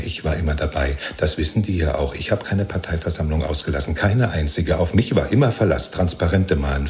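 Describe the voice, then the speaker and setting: An actor in a 1970s German radio play, playing a chatty, servile jailed party loyalist who rambles and justifies himself. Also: male voice, extremely low pitch, a little mellow. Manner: rambling, ingratiating, chummy, seeking reassurance.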